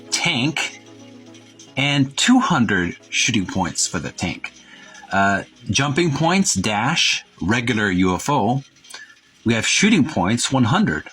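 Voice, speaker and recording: A man presenting a book by reading its printed text aloud with commentary, in a halting, deliberate, itemizing way with pauses. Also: mid-range voice. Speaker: presenter of a retro video game book.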